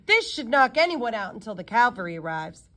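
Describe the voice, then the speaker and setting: A woman's voice: on the high side, big pitch swings, fairly quick, pushed and bright. A woman voicing a chummy, wry British man in a storybook, confiding and playful as he explains things. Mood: confiding, proud. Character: chummy, wry British man showing off his secret-equipped hotel.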